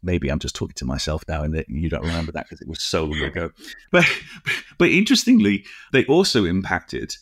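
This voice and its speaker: A middle-aged or older British man co-hosting a casual podcast, explaining things in a halting, discursive way with frequent asides. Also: low voice, big pitch swings, vocal fry, breathy edge, no room sound, clean studio sound. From United Kingdom. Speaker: podcast co-host.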